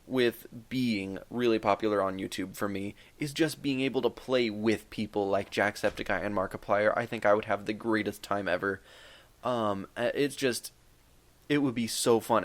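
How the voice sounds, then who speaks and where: A man's voice: fairly low pitch, moderate pace. A man in his twenties answering viewer questions in a casual, rambling, joking vlog. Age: 20s. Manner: rambling, joking, many fillers. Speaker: YouTube gaming creator.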